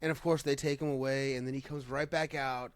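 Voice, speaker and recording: A man's voice: mid-range voice, steady tone, fairly quick, smooth, no echo. A man hosting a casual chat show, talking informally and with some swearing, often circling back as he phrases a thought. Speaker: podcast host. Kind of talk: casual chat about pro wrestling.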